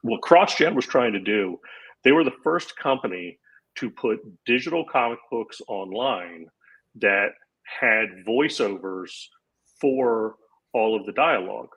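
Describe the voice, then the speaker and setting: A man in his forties, a comedian guest on a podcast, telling a long anecdote in a casual, animated way and acting out the lines of the people in it. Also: on the low side, a little slow, husky, rough recording. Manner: animated anecdote-teller, acts out quoted lines. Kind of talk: casual storytelling.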